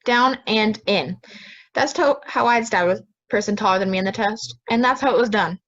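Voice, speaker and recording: A woman's voice: mid-range voice, normal speed, fairly bright tone, natural voice. A woman playing a stubborn, impatient juror, curt and dismissive, in an amateur audio drama recorded lo-fi.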